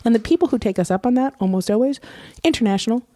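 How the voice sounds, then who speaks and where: A woman's voice: on the high side, expressive, fast, warm tone, no echo, clean studio sound. A woman co-hosting a podcast, giving event details in an informal, direct, chatty way. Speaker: podcast co-host. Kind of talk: casual podcast chat, announcing tour details.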